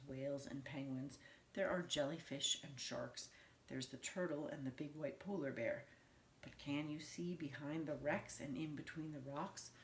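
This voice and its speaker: Woman, low-pitched, nursery-teacher storyteller reading rhymes expressively for small children, in a roomy echo. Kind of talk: expressive children's picture book read-aloud in rhyme. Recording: roomy echo with faint background noise.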